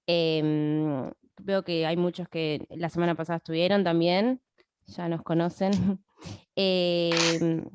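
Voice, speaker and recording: Smooth, lowish-voiced woman moderating online. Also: no room sound. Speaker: workshop moderator. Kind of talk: informal spoken introduction to an online class. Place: online video call, dry sound.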